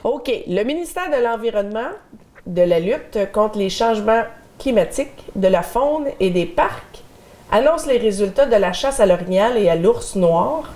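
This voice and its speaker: A woman's voice: mid-range voice, mellow. A middle-aged woman with a Québécois French accent hosting a local community TV show, chatting and reading the news with fillers. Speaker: community TV host.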